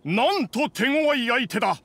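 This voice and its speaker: A man's voice: extremely high pitch, expressive, a little slow, a bit bright, no room sound, full character voice. A man voicing a haughty, cowardly masked robber in a video game, with grandiose archaic speech. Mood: flustered.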